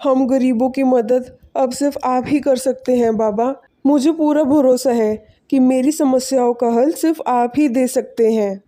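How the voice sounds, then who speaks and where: A woman voicing a humble, selfless poor village man in an animated moral story, speaking politely and earnestly. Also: high voice, a little slow, few pauses. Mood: respectful, pleading.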